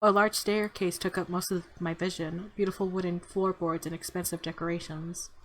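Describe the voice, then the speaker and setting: A woman's voice: on the low side, normal speed, slight background noise, low-quality recording. A woman reading a visual novel aloud, switching between story narration and casual, playful commentary. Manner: expressive, playful asides. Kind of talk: dramatic narration mixed with casual playthrough commentary. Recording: game music behind the voice.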